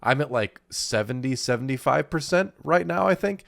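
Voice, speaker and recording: A man's voice: mid-range voice, lively intonation, normal speed, gravelly, a bit bright, no room sound, clean studio sound. A man in his thirties co-hosting a casual podcast, nasal and congested from a cold, rambling with fillers and laughs. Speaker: podcast co-host. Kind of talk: casual chat.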